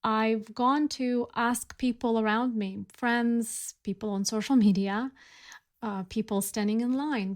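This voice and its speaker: A woman hosting a spiritual podcast, speaking in a gentle, contemplative monologue with soft pauses. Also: fairly high pitch. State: recovering from losing her voice. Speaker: podcast host.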